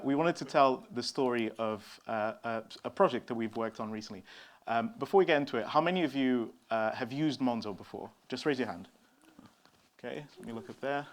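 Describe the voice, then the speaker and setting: A man from São Tomé and Príncipe addresses a conference audience through a stage mic. He talks in a loose, relaxed way, often pausing on fillers and restarting his sentences. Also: mid-range voice. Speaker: conference speaker. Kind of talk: conference talk.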